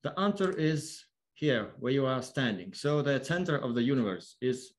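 A man with a Lithuanian accent gives an explanatory online lecture in halting, non-fluent English. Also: mid-range voice, lively intonation. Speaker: observatory worker giving a lecture. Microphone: online video call.

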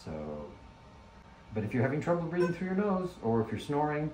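A man working as an ear, nose and throat doctor, explaining a procedure to a patient in a measured, instructive way. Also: on the high side, huge pitch swings. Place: small clinic exam room, indoors. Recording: roomy clinic room with background noise.

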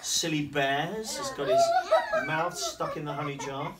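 A middle-aged man reads a silly picture book aloud to young children, his voice bouncing up and down in a lively, sing-song way. He slows down for the rhyming lines and stops to quiz the class. He is heard from across a classroom on a distant camera, with plenty of background noise. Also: fairly high pitch. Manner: playful, asks the children questions and slows down on the rhymes. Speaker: headmaster. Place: primary school classroom, indoors. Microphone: camera across the room.

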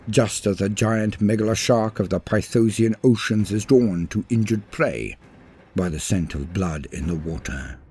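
Low husky guy doing grave dramatic lore narration, music behind him. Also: darkish tone.

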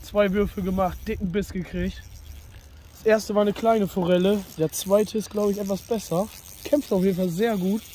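A man's voice: high voice, fairly even intonation, normal speed, mellow, slight background noise. A man hosting a fishing vlog, chatting casually and colloquially to his viewers as friends.

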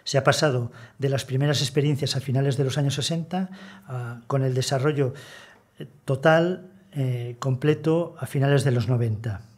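An older man delivers a seminar talk seated at a table in a conference room. His voice is mellow and a little breathy, and he explains things in a measured way with many hesitations. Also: mid-range voice. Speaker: conference speaker, conservator.

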